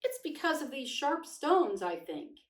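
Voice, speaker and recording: A small, earnest storybook toy bear, acted out by a woman, wondering and childlike. The voice is high and slow, with plaintive turns. It comes from a little way off in an echoey room. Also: sing-song. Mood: uneasy, puzzled. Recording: echoey room, distant microphone.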